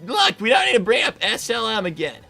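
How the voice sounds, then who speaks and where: A woman's voice: fairly high pitch, big pitch swings, a little fast, gravelly, sharp tone, breathy edge, soft background music, exaggerated voice. A woman voicing a mild young male fox who is hesitant, stammering and conciliatory, in improvised livestream comedy. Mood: uneasy.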